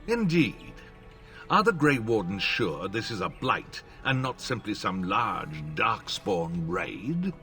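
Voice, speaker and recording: A doubting fantasy game nobleman. The voice is on the low side but forceful, formal and lively in pitch, over music. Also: slow pace, heavily acted. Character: skeptical nobleman of a fantasy realm.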